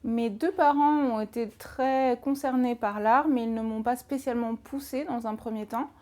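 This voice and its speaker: Woman, 30s, interview guest, calm, measured, trailing off softly, higher pitch. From France.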